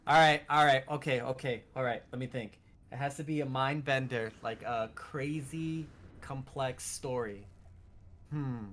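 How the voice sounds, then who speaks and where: A man chatting casually about games and movies as a podcast co-host, in an excitable, emphatic, slangy way. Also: medium pitch, a little slow, a little hiss or hum. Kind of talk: casual video game and movie chat.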